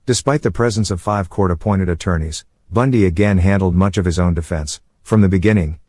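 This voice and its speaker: A man reading scripted true-crime documentary narration in a measured, formal manner. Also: low voice, a little mellow, no room sound.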